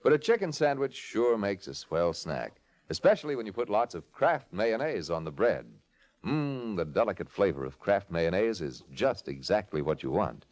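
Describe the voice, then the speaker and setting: A man's voice: lowish voice, big pitch swings, moderate pace, a little mellow. A man with an American accent reading scripted sponsor copy and narration as an old-time radio announcer.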